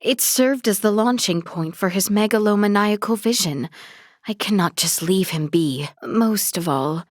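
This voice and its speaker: A woman's voice: mid-range voice, measured pace, dry recording, clean studio sound, natural voice. A woman voicing a young noble princess in a video game, earnest and dignified, with formal, polite, precisely worded speech. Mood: dignified, sincere.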